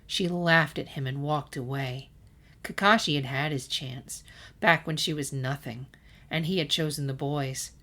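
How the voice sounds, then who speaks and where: A woman's voice: sits low, unhurried, fairly bright tone. A woman reading a scripted story aloud as an audiobook-style narrator. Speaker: audiobook-style reader of fan fiction.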